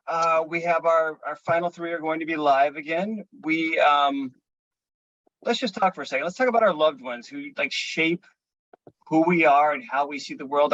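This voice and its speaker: A man's voice: on the high side, a bit bright, no echo, dull audio. A man hosting a veterans performance showcase, introducing acts in a rambling, casual manner with many fillers.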